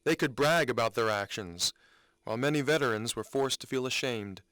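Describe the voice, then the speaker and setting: Male reader as a solemn, earnest man; smooth mid-range voice, dry close recording, deliberate dramatic reading. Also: mid-range voice, pushed and bright, dry recording, natural voice. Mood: bitter, earnest. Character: earnest man honoring veterans, solemn and aggrieved. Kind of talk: dramatic reading of written commentary.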